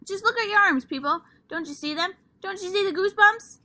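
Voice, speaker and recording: A woman voicing a prissy, showy little girl classmate who gushes in a high, sing-song, bossy way. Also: big pitch swings, moderate pace, a bit bright, no room sound, exaggerated voice. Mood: gushing.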